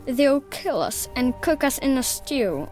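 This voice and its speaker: High-pitched sardonic captive child. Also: female voice, big pitch swings, a little slow, a little mellow, faint music behind. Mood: grimly matter-of-fact. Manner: wry, matter-of-fact. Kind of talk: fairy-tale game voice acting.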